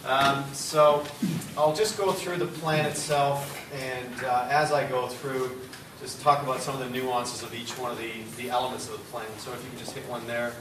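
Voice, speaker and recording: A man gives a talk to a seated audience, loud and conversational, often pausing on "uh" and "um". He is recorded from far off in an echoing room with steady background noise. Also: medium pitch. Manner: conversational, loud-voiced, hesitant fillers. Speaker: university executive speaker. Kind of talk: symposium presentation. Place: lecture room or studio space. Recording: roomy hall from far off, noisy.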